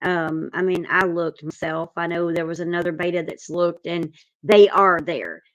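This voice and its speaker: A woman's voice: lowish voice, steady tone, moderate pace. A woman giving her opinion casually as a panelist in an informal discussion.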